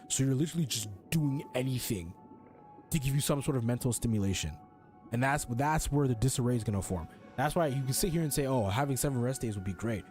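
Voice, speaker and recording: A man's voice: medium pitch, warm tone. A man streaming a game with casual, opinionated commentary, blunt and emphatic, repeating his points and swearing freely.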